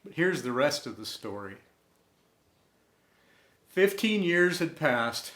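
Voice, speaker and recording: A man narrating a scripted personal story in an inspirational style, with a measured delivery and deliberate pauses. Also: on the high side, very animated, fairly bright tone. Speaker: storyteller and host. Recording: roomy, echoing room sound.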